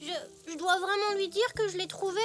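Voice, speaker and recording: Very high-pitched, earnest little zebra boy. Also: steady tone, moderate pace. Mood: hesitant, thoughtful. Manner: earnest, wide-eyed childlike delivery. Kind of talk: French children's cartoon dubbing.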